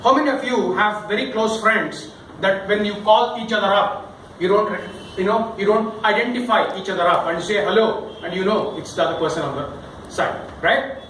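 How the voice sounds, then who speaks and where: A man's voice: sits high, normal speed, fairly bright tone. A man leading an interactive public-speaking lesson as a workshop trainer, with a theatrical, emphatic delivery full of questions. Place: indoor session room with audience. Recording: echoey room, distant mic, background noise.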